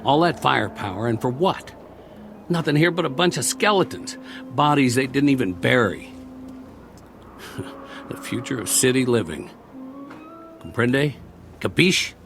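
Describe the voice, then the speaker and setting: An actor playing a war-weary, talkative soldier in a radio drama, rambling and wry, lonely and eager to please, stammering when eager. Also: male voice, medium pitch, lively intonation, measured pace, vocal fry, long pauses, over music. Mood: bitterly wry.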